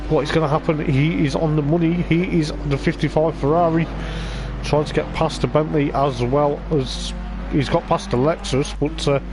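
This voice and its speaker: A man with a voice going hoarse, giving rambling live race commentary on a stream, with lots of hesitant fillers. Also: fairly high pitch, fairly even intonation, moderate pace, noisy background. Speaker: sim racing league commentator.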